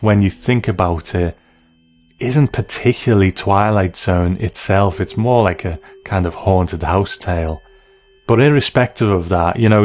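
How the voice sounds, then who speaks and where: A man hosting a show gives measured, thoughtful commentary in a conversational, analytical way. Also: low voice, a little mellow. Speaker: podcast host reviewing a TV episode.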